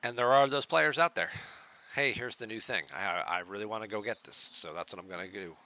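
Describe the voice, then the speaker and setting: A soft-spoken man streams a game from his home setup and chats easily with viewers. His voice sits a little low in pitch but comes across very bright and forward. He talks in a relaxed, chatty way. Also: a little fast. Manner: relaxed, chatty, answers chat questions. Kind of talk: casual live-stream chat with viewers.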